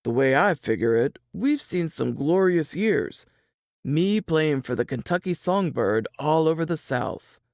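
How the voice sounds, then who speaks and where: Man acting a gravelly, folksy young-country piano player. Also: on the high side, lively intonation, measured pace, a little mellow, no room sound, studio quality. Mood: determined, hopeful. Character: protective, plain-spoken Southern cousin, a traveling piano player. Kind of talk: dramatized audiobook reading.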